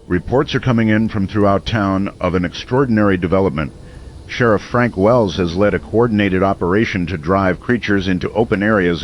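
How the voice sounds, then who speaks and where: A grave radio drama host, acted by a man, speaks in measured, deliberate phrases like a late-night newsman. His voice sits low, and the sound is lo-fi with clear noise behind it. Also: close to an everyday voice. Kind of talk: dramatic radio news narration.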